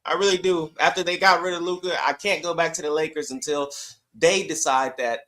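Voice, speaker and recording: High-pitched man debating sports. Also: steady tone, brisk, sharp tone. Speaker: sports podcast panelist.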